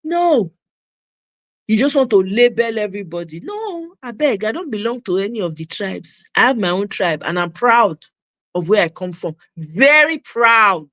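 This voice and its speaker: A woman's voice: medium pitch, sing-song, moderate pace, a bit bright, dry recording, lo-fi sound. A Nigerian woman hosting a commentary channel, speaking in a passionate opinion monologue and repeating her points for emphasis, with Pidgin phrases in her accent.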